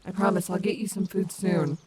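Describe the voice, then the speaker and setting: A woman's voice: on the low side, fairly even intonation, fairly quick, close to an everyday voice. Cocky wolf woman, played by an actress, noisy background. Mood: doting. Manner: confident, sassy, doting on the listener. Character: cocky, protective female animatronic wolf, affectionate and bossy. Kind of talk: fan audio roleplay acting, addressing the listener.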